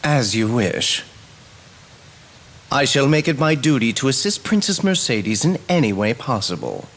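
A man's voice: medium pitch, animated, unhurried. A man voicing an earnest, courtly fairy advisor in a fantasy game, formal and grave. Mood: courtly, disapproving. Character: courtly, earnest male fairy advisor, polished royal cousin. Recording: loud background music.

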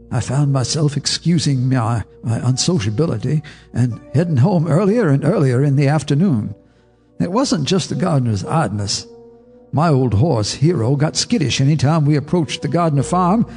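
An actor narrating a radio drama as an older farmer telling his memories in a measured, folksy way. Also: fairly high pitch, darkish tone. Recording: reverberant radio drama with music.

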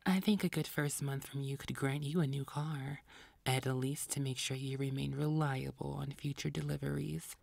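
Woman playing a very low, imperious vampire woman. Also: fairly even intonation, vocal fry, darkish tone, dry recording, full character voice. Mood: imperious, menacing. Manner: commanding, condescending, deliberate. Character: imperious vampire woman boss, cool and commanding. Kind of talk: audio roleplay acting.

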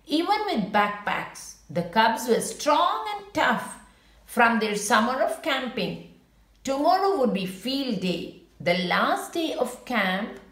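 Casting: female storybook reader for children, very animated intonation, unhurried pace, roomy echoing sound. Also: fairly high pitch. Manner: expressive, unhurried picture-book delivery. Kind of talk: read-aloud storytelling for children with brief asides.

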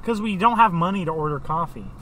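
Bright-voiced teen guy teasing his friends. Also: a little high, expressive, slight background noise. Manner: teasing, quick retorts. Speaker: podcast co-host. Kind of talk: casual chat among friends. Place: parked car.

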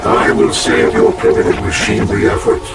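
A man playing a cold, imperious rogue artificial intelligence with contempt for humans, deep and gravelly through a robotic effect, in a video game cutscene. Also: wildly swooping pitch, distant mic, heavy background noise, heavily acted. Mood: menacing, gloating. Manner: imperious, deliberate, theatrical. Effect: robotic processed voice.

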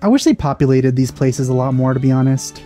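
A man's voice: mid-range voice, animated, a little fast, mellow, soft background music. A man in his thirties giving casual reaction commentary as a video host, speaking into a headset. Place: small indoor room setup with green screen.

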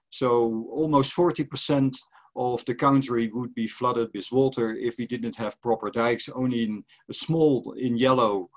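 An older man from the Netherlands with a Dutch accent, giving a relaxed online lecture with frequent hesitations over a video call. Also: mid-range voice. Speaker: presenter. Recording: slightly dull online call audio.

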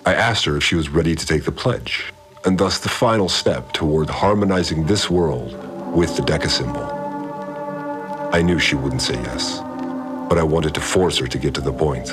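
A deep, cryptic cult priest. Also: male voice. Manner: measured, solemn, introspective narration. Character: calm, cryptic, controlling male cult priest. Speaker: narrator, the priest figure. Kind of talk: scripted audio drama narration. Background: soft music.

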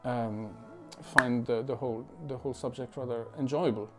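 Thoughtful man from France, halting speech. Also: mid-range voice, fairly even intonation, loud music behind the voice. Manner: thoughtful, halting, many fillers and restarts. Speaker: musician. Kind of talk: reflective interview talk. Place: living room at home.

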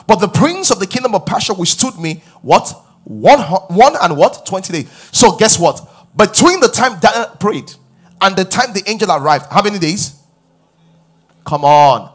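Pastor, man in his forties, husky, pitch leaps around while preaching on a big stage, faint music under. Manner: animated preaching, rising loud on key points, asking the crowd questions. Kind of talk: sermon. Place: large church stage with live audience.

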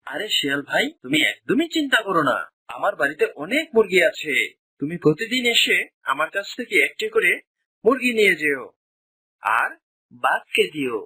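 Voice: medium pitch, big pitch swings, moderate pace, bright, forceful tone, breathy edge, no echo, exaggerated voice. A performer voicing a grateful, friendly village man in a children's cartoon, earnest and humble. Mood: reassuring.

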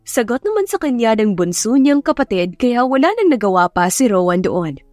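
A woman narrating a romance audiobook in a steady storytelling manner. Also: fairly high pitch, normal speed, no echo, soft background music. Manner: steady storytelling, voices all characters.

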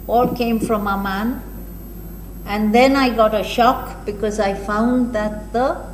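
A woman in her sixties with an Indian accent gives a keynote address at a podium, telling anecdotes in a measured, deliberate way with frequent pauses. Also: medium pitch. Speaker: keynote speaker, retired senior police officer. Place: indoor hall at a podium. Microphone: gooseneck podium microphone. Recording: roomy echo, clear background noise.

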